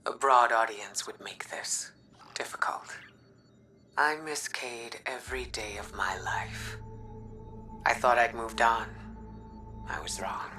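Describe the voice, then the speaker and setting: An actress playing a grieving, reflective female mentor in a video game, solemn and weary, in a measured, introspective monologue. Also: extremely low pitch, bright, forceful tone, heavily acted. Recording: music under the voice.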